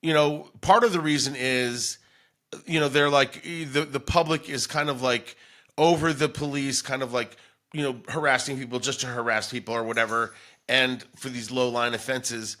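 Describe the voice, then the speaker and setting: Bright-voiced American man, podcast host. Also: mid-range voice, fairly even intonation, moderate pace. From Denver, United States. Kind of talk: casual chat.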